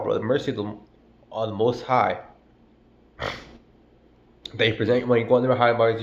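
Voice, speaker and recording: Congested man, low voice, casual profane preacher, echoey lo-fi room. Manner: slangy and profane, drawls "bro" and "man". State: stuffy, congested from a cold. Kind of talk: casual street-style sermon.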